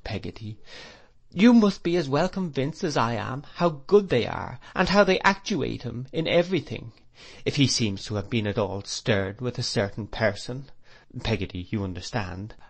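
A man reading aloud, voicing a gentle, fretful young mother who pleads and chides in a raised, tearful tone. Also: mid-range voice.

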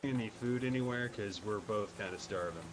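A man's voice: on the low side, a little fast, noisy background. A man talking casually over voice chat as a player in an online roleplay game.

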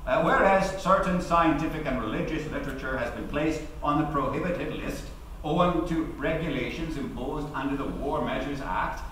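A man's voice: mid-range voice, a bit bright, close to an everyday voice. An actor in his forties playing an eloquent, defiant labour activist of 1919 giving a formal, rhetorical defence speech in court. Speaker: actor giving a dramatic reading. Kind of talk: theatrical courtroom defence oration. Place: large hall, at a podium. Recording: echoey hall, distant mic, faint noise.

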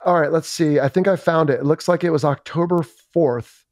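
A man's voice: on the high side, brisk, darkish tone, no room sound, clean studio sound. A man hosting a podcast interview in a casual, wry, conversational way, talking like a former military pilot. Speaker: podcast host, former Navy fighter pilot.

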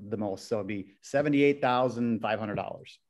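A man in his forties answering casually as an interview guest on a video call, low-key and matter-of-fact. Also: moderate pace, darkish tone. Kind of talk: casual chat.

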